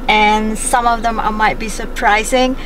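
A woman in her forties with an Indonesian accent talks through a casual, chatty vlog with her slightly high voice. She sits well off the mic inside a car. Loud road and engine noise runs underneath. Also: flat delivery, measured pace, recorded from a distance. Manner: chatty, explaining, non-native phrasing. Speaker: vlogger. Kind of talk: casual vlog narration while driving. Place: inside a car and outdoors by a roadside. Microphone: camera mic from a dashboard or handheld camera.

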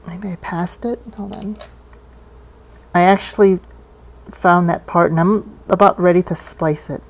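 Low-pitched woman talking casually. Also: fairly even intonation, a little slow. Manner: hesitant, thinking aloud. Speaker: hobbyist demonstrating cassette tape repair. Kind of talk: casual unscripted hands-on demonstration. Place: indoors at a desk.

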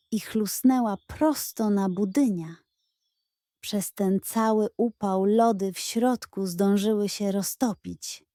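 Slow, mellow woman telling a children's story. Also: medium pitch, no room sound, clean studio sound. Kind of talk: expressive scripted children's story narration.

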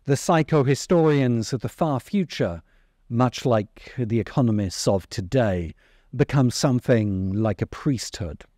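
A middle-aged man narrating a scripted video essay in a deliberate, emphatic way, close to the microphone. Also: mid-range voice, dark tone, no room sound. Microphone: close desk microphone.

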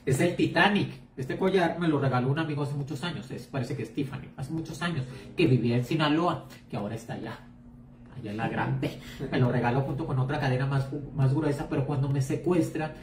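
A woman's voice: extremely low pitch, brisk, a crowd in the background. A woman with a Mexican accent, a psychic giving blunt, outspoken advice in a casual live chat. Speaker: psychic and tarot reader. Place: home room, indoors. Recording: echoey room, far from mic.

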